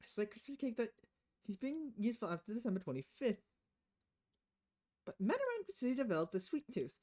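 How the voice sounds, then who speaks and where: A woman game streamer reads visual novel dialogue aloud on a livestream. Her pitch is very animated, moving up and down as she shifts between voices. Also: brisk. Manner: acts out dialogue with changing voices.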